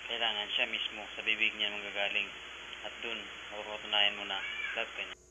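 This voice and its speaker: A man's voice: on the low side, flat delivery, brisk. A Filipino man giving casual, emphatic advice on a radio show. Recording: noisy, hissy radio audio.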